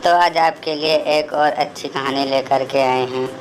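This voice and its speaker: Very low-pitched woman hosting. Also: fairly even intonation, moderate pace, noisy background. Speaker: channel host. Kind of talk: scripted channel introduction.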